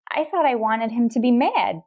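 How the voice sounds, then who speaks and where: A young woman playing a frightened, wistful stowaway in a radio drama, halting, pleading and emotional. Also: on the high side, animated, narrow, tinny audio. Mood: wistful, resigned. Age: young adult.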